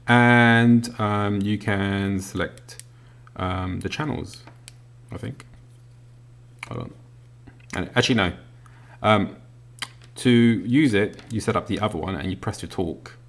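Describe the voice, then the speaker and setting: A British man casually walking through an unboxing, talking as he handles the items with lots of ums and pauses. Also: fairly low pitch, a little hiss or hum. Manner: relaxed, filler-heavy, talks while handling items. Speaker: product reviewer.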